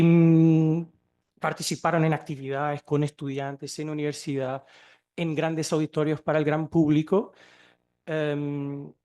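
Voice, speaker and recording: Hombre portugués con acento chileno, voz algo aguda. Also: male voice, on the high side, a little mellow. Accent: Chilean-tinged Spanish. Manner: hesitant, many fillers and restarts. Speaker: seminar speaker. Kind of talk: academic lecture. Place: lecture hall.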